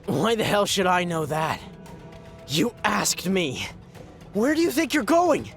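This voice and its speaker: Sick, defiant, slow-talking rig worker. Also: male voice, sits high, very animated, gravelly, a bit bright, faint music behind, full character voice. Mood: angry, defiant. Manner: bitter, agitated, profane. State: coughing, ill. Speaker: voice actor. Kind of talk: survival horror audio drama acting.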